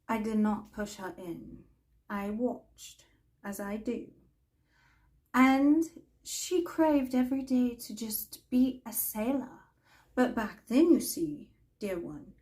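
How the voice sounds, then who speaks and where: A woman playing an imperious, mischievous older magical storyteller, telling fantasy tales in a theatrical, haughty way with deliberate pauses. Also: on the high side, dark tone. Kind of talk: in-character fantasy storytelling roleplay.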